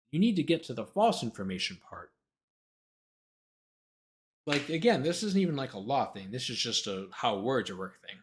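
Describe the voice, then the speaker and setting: A man's voice: on the high side, a bit bright, husky. A man who hosts a livestream as a lawyer, reading a document aloud and breaking off into sarcastic, exasperated, argumentative commentary.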